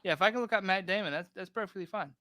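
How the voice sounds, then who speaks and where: Guy in his thirties, kinda high voice, chill rambly livestream talk. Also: rapid-fire, a crowd in the background. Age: 30s. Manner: laid-back, rambling, reads chat aloud. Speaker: host of a diorama livestream. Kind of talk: casual livestream chat.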